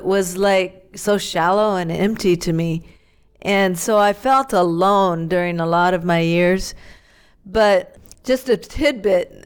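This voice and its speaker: Woman missionary giving her testimony in an interview, takes her time, smooth clear voice, earnest and thoughtful. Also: mid-range voice. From grew up in Tanzania, Ethiopia and Pakistan. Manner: earnest, reflective storytelling.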